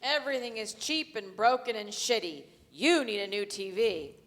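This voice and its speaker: A woman's voice: fairly high pitch, animated, normal speed, a bit bright. A woman playing a brash, crude, flirtatious bar regular in a live staged play reading, blunt and casual in manner. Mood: blunt, puzzled. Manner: foul-mouthed, casual and blunt. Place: theatre stage before a live audience. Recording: reverberant theatre hall, live stage.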